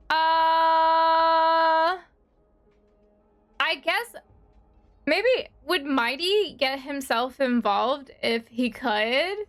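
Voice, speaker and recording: A woman streaming a game with casual, off-the-cuff reactions. Her high voice takes its time as she thinks aloud and hesitates, with faint music underneath. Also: fairly bright tone.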